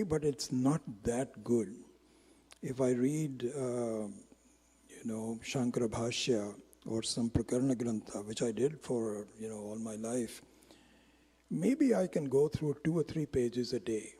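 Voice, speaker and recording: A man whose first language is Hindi, giving an informal spiritual lecture on Vedanta in a reflective, unhurried way, with frequent pauses and restarts. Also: medium pitch, dark tone, husky, long reverb tail. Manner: reflective and unhurried, pausing often with restarts and fillers. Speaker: Vedanta teacher.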